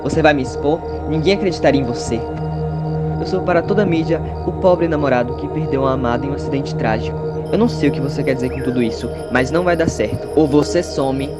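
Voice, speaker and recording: Audio drama villain: conceited, sneering male star played by a man, quick delivery, dismissive, over prominent loud music. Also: on the high side, lightly acted. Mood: defiant, threatening. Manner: haughty, dismissive. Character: arrogant, sexist heartthrob soap actor, sneering villain. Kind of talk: amateur audio drama acting.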